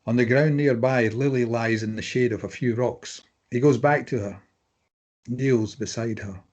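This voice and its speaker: A man's voice: mid-range voice, moderate pace, a little mellow, dull audio. A man reading stage directions as narrator, in scripted dramatic present-tense narration.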